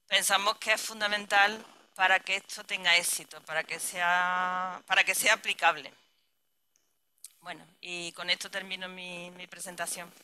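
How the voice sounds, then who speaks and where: Woman, researcher presenting, very bright voice, rephrases as she goes. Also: fairly low pitch, little pitch movement, moderate pace, smooth, poor audio. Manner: thoughtful, rephrasing with restarts. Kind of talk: conference presentation.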